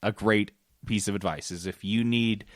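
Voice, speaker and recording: A man's voice: on the low side, fairly even intonation, moderate pace, no echo, clean studio sound. A man co-hosting a podcast with informal, casual gaming chat. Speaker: podcast co-host.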